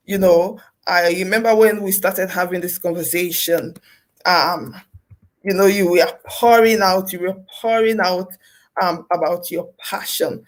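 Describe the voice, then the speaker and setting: A woman's voice: lowish voice, steady tone, measured pace. A woman hosting an interview, effusive, repeating her thanks and phrases with many fillers. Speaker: interview host. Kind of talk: interview talk.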